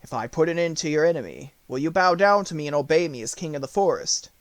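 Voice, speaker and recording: A man's voice: fairly high pitch, lively intonation, fast, dry recording, lightly acted. Noble storybook lion king, performed by a male narrator, assured and stately, recorded very dry. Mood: resolute. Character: proud brave male lion, king of beasts.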